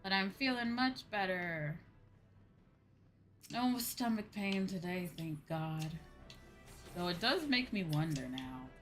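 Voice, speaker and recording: A woman's voice: on the low side, expressive, takes it slowly, pauses often, a bit bright, soft background music. A woman streaming a game and chatting casually, with a sweary, playfully whiny and emphatic manner. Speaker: streamer, VTuber host.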